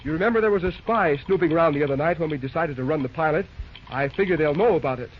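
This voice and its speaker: An actor playing a brisk, determined young railroad dispatcher with an American accent, giving clipped, urgent lines in an old-time radio drama. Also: male voice, a little high, natural voice. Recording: dull, noisy vintage radio recording.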